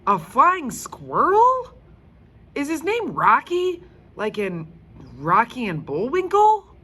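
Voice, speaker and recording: A woman's voice: high-pitched, wildly swooping pitch, slow pace, sharp tone, husky, voice pushed up, heavily acted. A woman voicing a playful, cheeky young schoolboy who likes to joke, in a storybook reading. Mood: teasing, excited. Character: playful, cheeky third-grade boy joker.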